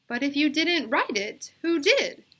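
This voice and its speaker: A woman's voice: sits high, sing-song, measured pace, fairly bright tone, pitched above the performer's own voice, exaggerated voice. A woman voicing a kindly but firm female schoolteacher in a storybook, coaxing and reassuring. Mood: questioning, stern.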